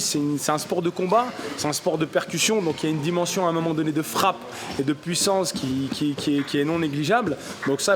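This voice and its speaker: A man's voice: a little high, background noise. A man in his thirties from the Paris region, a Thai boxing club co-founder giving interview answers in an explanatory way, with many fillers and restarts. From Paris region, France. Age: 30s.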